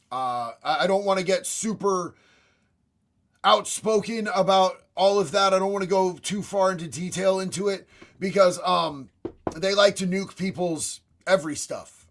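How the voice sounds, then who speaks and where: A raspy young man talks off the cuff on his livestream, blunt and profane, tossing out bro and homie. His voice sits high for a man. He answers chat messages one after another. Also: normal speed, fairly bright tone. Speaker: livestream host reading chat.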